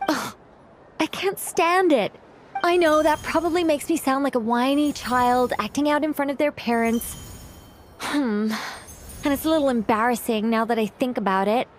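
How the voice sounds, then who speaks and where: A woman's voice: high voice, moderate pace, a little hiss or hum, lightly acted. A woman voicing a proud, needy, whimsical ancient mage in an anime game, theatrical, chatty and self-conscious. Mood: exasperated. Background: music.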